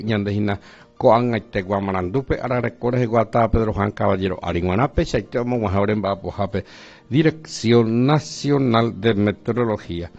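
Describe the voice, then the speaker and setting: A man's voice: medium pitch, darkish tone, lo-fi sound. A man with a Paraguayan accent presenting a radio weather report, mixing Guarani into his Spanish. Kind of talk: radio news chat.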